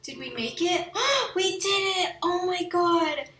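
A young woman giving casual, slangy let's play commentary on a video game in an excitable, gushing way. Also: very high voice, slow pace, echoey room, far from the mic, a little hiss or hum. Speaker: video game streamer and channel host.

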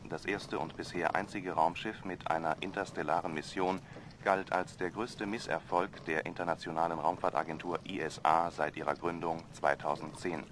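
A man as a deep-voiced news announcer. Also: background noise, natural voice. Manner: even, formal bulletin delivery. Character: male radio news announcer, formal and measured. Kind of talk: formal news reading.

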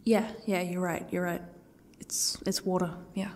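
A woman playing a defiant, sardonic captive in an audio roleplay, speaking haltingly and often sinking toward a whisper. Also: on the low side, flat delivery, a little mellow, a little hiss or hum. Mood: uneasy, halting. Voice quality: husky, breathy, creaky. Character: defiant, sardonic captive woman facing her ex's torture. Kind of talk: audio roleplay, one-sided dialogue.

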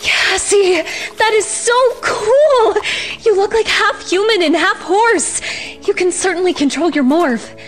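A woman's voice: very high-pitched, takes it slowly, soft background music. A woman playing a confident, serious teenage girl in a fan audio drama. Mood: impressed.

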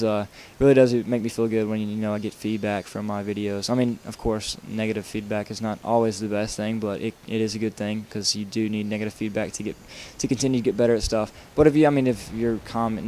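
Rambling, low-voiced young man. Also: a little mellow, a little hiss or hum. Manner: casual, rambling, many fillers and restarts. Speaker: video game tutorial maker. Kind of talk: rambling tutorial voice-over while playing.

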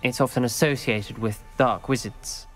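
A man's voice: mid-range voice, fairly even intonation, normal speed, a bit bright, close to an everyday voice. A man acts as a guarded British schoolboy wizard from a fantasy video game. He speaks formally and earnestly, troubled and principled, with music playing behind him. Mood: reluctant. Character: troubled, principled teenage schoolboy wizard, wary of dark magic. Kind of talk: dramatic video game voice acting.

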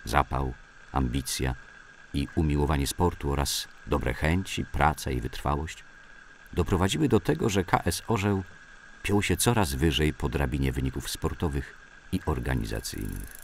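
Very deep, gravelly male narrator. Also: animated, moderate pace, slight background noise. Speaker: narrator reading memoirs for an audio drama. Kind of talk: scripted narration, documentary memoir reading.

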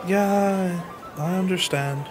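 A man's voice: high-pitched, slow, over music. A man playing an earnest, humble medieval peasant farmer in an audio drama, with a pleading, hesitant manner. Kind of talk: audio drama acting and narration.

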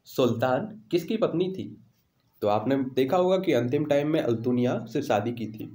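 A man's voice: mid-range voice, dark tone, roomy sound. An Indian man teaching an online exam lesson in question-and-answer style, repeating questions and answers in an instructional way.

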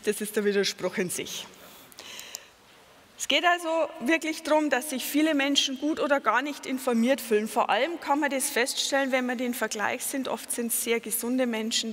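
A middle-aged Bavarian woman speaks at a conference with a fairly high voice, earnest and explanatory, often pausing on fillers and correcting herself mid-phrase. Her voice rings with a long hall reverb. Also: fairly bright tone. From Lower Bavaria, Germany. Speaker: patient advocate speaker. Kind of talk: conference talk with slides.

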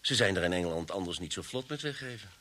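An actor playing a keen, direct, plain-spoken police detective in an old radio drama. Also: male voice, low-pitched, expressive, fast talker, bright, forceful tone, heavily acted. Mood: pondering. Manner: direct, inquisitive. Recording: old radio recording with faint hiss.

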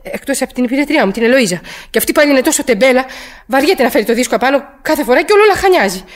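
An actress in a radio drama playing an anxious, querulous bedridden wife, agitated, hesitant and insistent. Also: female voice, sits high, lively intonation. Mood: fretful.

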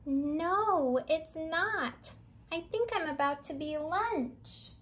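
A woman's voice: high-pitched, expressive, slow, fairly bright tone, pitched above the performer's own voice, exaggerated voice. A woman voicing a chipper, dramatic, easily alarmed talking hot dog in a childlike, exclamatory way. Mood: resigned.

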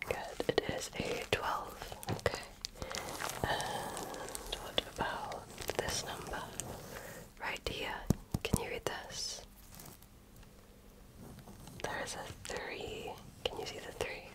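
A woman whispering breathily in a gentle, deliberate, patient way, as an examiner in an ASMR roleplay. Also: rough recording. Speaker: ASMR performer playing a medical examiner. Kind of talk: ASMR roleplay, soft-spoken personal attention.

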